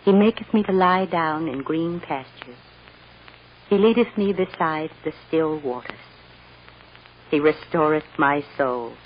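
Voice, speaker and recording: Radio drama mother, sorrowful and loving, hushed halting delivery, muffled vintage recording. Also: deep voice, slight background noise. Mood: solemn. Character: tender, sorrowful mother comforting her small daughter. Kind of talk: 1940s radio drama acting.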